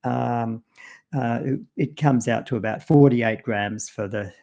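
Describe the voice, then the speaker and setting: An Australian man talks through a nutrition topic on a home live stream, with a gravelly, mellow low edge to his voice. He is casual and loose, scattering ums and restarts as he thinks aloud. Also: a little slow. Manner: loose, full of ums and you-knows, restarts. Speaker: co-host presenting on nutrition.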